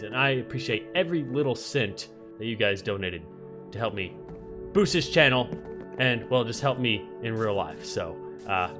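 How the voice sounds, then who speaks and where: A man with a somewhat nasal voice hosting a Minecraft let's-play, chatting casually and thinking aloud as he plays, with frequent ums and restarts. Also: medium pitch. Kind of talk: casual gameplay commentary.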